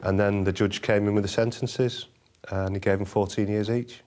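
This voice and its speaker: A man's voice: on the low side, level tone, moderate pace, a little mellow. A man from the prosecution side, recalling a case in a documentary interview.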